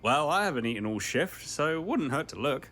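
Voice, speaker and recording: A man playing an easygoing, weary young fast-food manager in an audio drama, earnest and good-natured. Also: fairly high pitch, sing-song, fairly bright tone. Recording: noisy backdrop with clicks.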